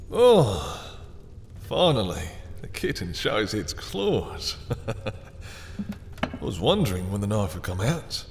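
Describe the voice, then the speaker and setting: Man playing a low-voiced, silky, mocking male vampire. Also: long reverb tail, a little hiss or hum, heavily acted. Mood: amused, taunting. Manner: silky, mocking, theatrically courteous, lingering over words. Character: dominant, obsessive male vampire taunting a captured hunter. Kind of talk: intimate roleplay audio drama monologue.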